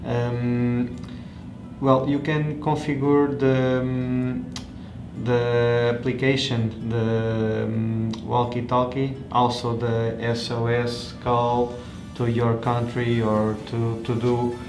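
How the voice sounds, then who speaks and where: A male tech reviewer, not a native English speaker, talks through a product demo in an unscripted, halting way. His delivery is slow and quite monotone, often searching for the next word. The room sounds echoey. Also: medium pitch. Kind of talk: unscripted hands-on product demonstration. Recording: echoey room with music behind.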